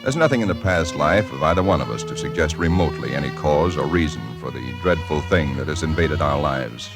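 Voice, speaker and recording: Deep-voiced earnest 1940s radio narrator. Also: male voice, lively intonation, music in the background. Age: 30s. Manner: measured, earnest storytelling. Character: ordinary 35-year-old chemical engineer narrating.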